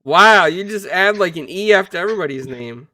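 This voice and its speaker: A man's voice: high voice, expressive, fairly quick, bright, forceful tone, no room sound. A man hosting a casual gaming podcast, upbeat and greeting viewers by name. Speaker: podcast host.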